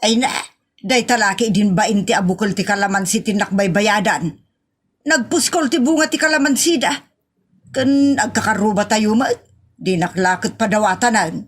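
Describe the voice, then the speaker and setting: An actress with an Ilocano accent playing a stingy, grumbling wife who whines out drawn-out complaints in a comedy audio drama. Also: female voice, medium pitch, close to an everyday voice. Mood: whining.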